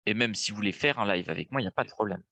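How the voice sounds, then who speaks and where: Low-voiced man with a cold. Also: very fast, gravelly, sharp tone, dry recording. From France. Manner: casual, thinking aloud with frequent fillers. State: stuffy nose from a cold. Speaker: trading webinar host. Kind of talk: live screen-share trading lesson.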